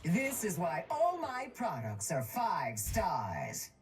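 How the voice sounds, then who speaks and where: An actress playing a ruthless, wealthy middle-aged villainess in a video game, with a silky, condescending, theatrical delivery. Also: female voice, on the low side, huge pitch swings, slow, exaggerated voice. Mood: smug, cold. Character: ruthless, rich chemical-baroness villain, smug and calculating. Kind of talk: video game character voice lines. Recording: game voice clips over music.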